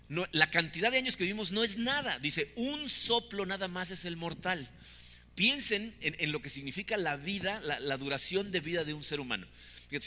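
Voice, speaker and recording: A man's voice: fairly high pitch, bright, forceful tone, big-room sound, slight background noise. A man, preaching a sermon conversationally, often tagging questions onto his points. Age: 50s. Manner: conversational preaching with frequent "¿no?" tags. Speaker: pastor.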